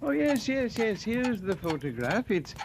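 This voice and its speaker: A man's voice: high-pitched, very animated, a little slow, heavily acted. An actor playing a sick, elderly male country pastor who is fretful and hesitant, in 1940s radio acting. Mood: anxious. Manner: fretful, hesitant, then alarmed. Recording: old noisy radio broadcast.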